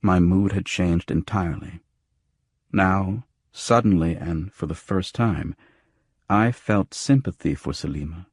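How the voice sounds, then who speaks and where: Husky low-voiced man narrating noir fiction. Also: unhurried, no echo, studio quality. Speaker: audiobook narrator. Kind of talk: scripted first-person noir fiction narration.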